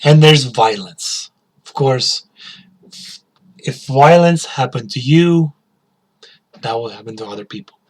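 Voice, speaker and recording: A man's voice: medium pitch, expressive, slow pace, long pauses. A male teacher giving a spoken English lesson, asking learners questions and repeating key words.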